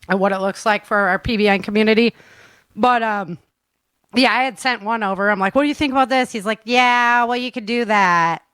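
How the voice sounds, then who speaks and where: A woman's voice: fairly high pitch, fairly bright tone, no room sound. A woman hosting a casual chat as an author, speaking in an enthusiastic, informal way with ready laughter. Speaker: author and show host.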